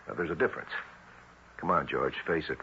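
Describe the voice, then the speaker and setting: A man's voice: a bass voice, brisk. An actor playing a tough but reassuring government lawman in a radio drama, wry and easygoing. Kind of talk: 1970s radio drama acting. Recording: muffled, hissy old radio broadcast.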